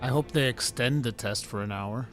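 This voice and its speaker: A man's voice: medium pitch, normal speed, over music. A man in his forties chatting casually on a game stream, with a teasing, playful manner and frequent exclamations and swearing. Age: 40s. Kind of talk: casual chat, banter.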